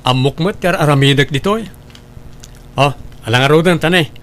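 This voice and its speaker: A man's voice: a little high, normal speed, fairly bright tone, close to an everyday voice. Man acting caring big brother, lighter male voice, Ilocano accent. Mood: reassuring. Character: caring, hardworking older brother, a driver. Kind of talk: Ilocano radio-style drama acting.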